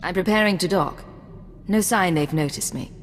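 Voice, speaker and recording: An actress playing a cool, capable secret agent with a crisp, probing manner in a video game. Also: female voice, fairly low pitch, moderate pace, soft background music, natural voice. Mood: cool, direct. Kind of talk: video game drama acting.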